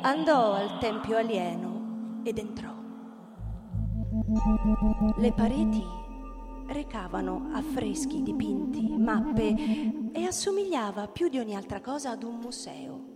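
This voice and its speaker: A woman reads scripted literary narration with measured, storytelling phrasing. Her voice is a little high. She sounds set in a long reverb, with music playing loudly behind her. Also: expressive. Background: loud music.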